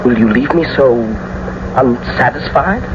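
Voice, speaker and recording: A man's voice: mid-range voice, animated, unhurried, natural voice. An actor playing an impetuous young nobleman in love, speaking with poetic fervor in a classical radio drama. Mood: longing. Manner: poetic, fervent, theatrical delivery. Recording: muffled, noisy old radio broadcast recording.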